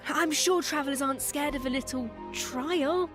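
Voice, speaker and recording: A woman co-presenting with expressive, scripted conversational banter. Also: high-pitched, moderate pace. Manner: expressive, exclaiming. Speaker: co-presenter of a game special program. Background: music.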